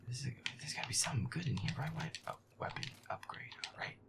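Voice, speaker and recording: A man muttering sarcastic, sweary gameplay commentary in a breathy, casual way, close to the mic. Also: mid-range voice, lively intonation, fast, heavy background noise. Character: feisty werewolf boyfriend playing a scary game. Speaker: gamer playing a horror game.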